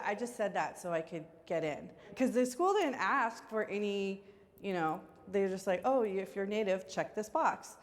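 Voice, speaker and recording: A woman tells a personal story hesitantly, pausing on ums. Her voice carries a long reverb. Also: medium pitch. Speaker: panel participant.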